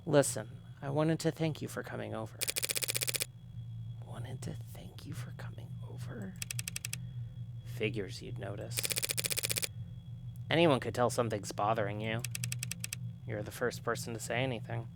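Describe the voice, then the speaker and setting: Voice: mid-range voice, unhurried, vocal fry, long pauses, heavy background noise. A streamer voicing a wry, guarded visual novel character in a subdued, dry way. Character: wry, guarded game protagonist, quietly cynical.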